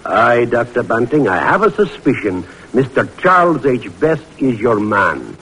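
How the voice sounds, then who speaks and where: An actor playing a wry, skeptical senior professor in an old-time radio drama, musing deliberately and teasing drily. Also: male voice, medium pitch, very animated, a bit bright, husky, exaggerated voice, low-quality recording. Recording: vintage radio recording with hiss.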